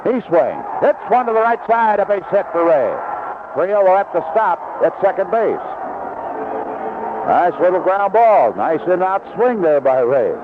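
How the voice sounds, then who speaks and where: A man's voice: high-pitched, sing-song, unhurried, narrow, tinny audio. Band-limited, noisy vintage radio baseball announcer, man. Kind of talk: live play-by-play commentary with promotional reads. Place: outdoor ballpark broadcast booth.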